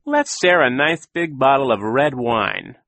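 A man's voice: mid-range voice, animated, measured pace, fairly bright tone, dry recording, voice pushed up. A man voicing a scheming villainous sea captain who gushes with false sentiment, in an audiobook story. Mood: gloating, jovial. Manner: theatrical, gushing insincerity.

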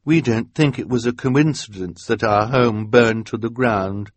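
A man's voice: medium pitch, measured pace, dry recording, close to an everyday voice. A male narrator voicing a grim henchwoman who firmly refuses her boss. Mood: defiant. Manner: firm, defiant.

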